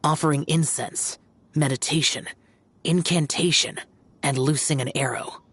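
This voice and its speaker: A man's voice: a little high, fairly even intonation, measured pace, fairly bright tone, breathy edge, no echo. An actor playing a composed, learned man versed in ancient rites, speaking formally and measuredly. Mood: solemn. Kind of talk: video game voice acting.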